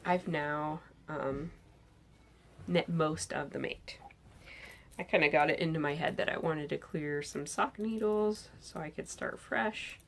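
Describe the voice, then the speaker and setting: A woman in her thirties, a little hoarse from a cold, chatting enthusiastically about her knitting projects in a bedroom, with many filler sounds. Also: deep voice. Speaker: knitting podcast host. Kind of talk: casual show-and-tell chat. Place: bedroom with pillows, indoors.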